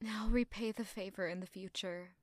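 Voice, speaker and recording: A woman's voice: medium pitch, fairly even intonation, moderate pace, no echo, natural voice. A woman playing a stubborn, guarded young noblewoman in an audio drama, speaking in a curt, sulky way. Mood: weary, conflicted.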